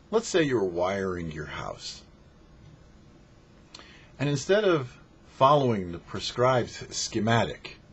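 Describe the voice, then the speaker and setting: Animated male rabbi, dull lo-fi recording. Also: fairly low pitch. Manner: emphatic, didactic, asks and answers rhetorical questions. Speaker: rabbi hosting a weekly Torah portion show. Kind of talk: short sermon, explanatory religious teaching. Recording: dull lo-fi audio with faint noise.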